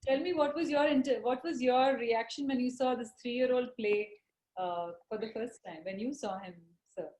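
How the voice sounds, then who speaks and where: A woman interviewer with a slightly high voice, asking quick, encouraging follow-up questions. Her voice sits in a noticeably echoey room. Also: a little mellow. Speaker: interviewer, magazine journalist. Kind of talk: casual interview.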